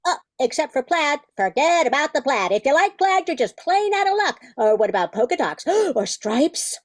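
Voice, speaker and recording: A scatterbrained little munchkin, voiced by a woman, playful and cheeky. The voice is high and dry, made for comic radio-play banter. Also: sing-song, normal speed, no echo. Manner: playful, daft.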